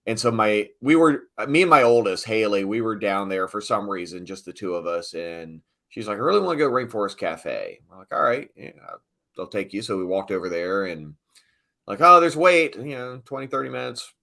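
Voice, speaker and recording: A middle-aged man speaks as a guest in a casual interview. His voice sits fairly low but has a bright, forceful edge. He tells stories informally, leaning on words for emphasis. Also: lively intonation, a little fast, gravelly. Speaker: sports PA announcer, interview guest. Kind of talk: casual storytelling interview chat.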